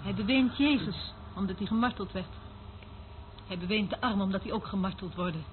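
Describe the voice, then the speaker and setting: An actress playing a weary, cynical surveillance worker in a radio play, speaking in a dry, dismissive way. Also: female voice, mid-range voice, brisk, natural voice. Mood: weary, scornful. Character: weary, cynical woman working as surveillance eavesdropper. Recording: noisy, tinny band-limited old radio recording.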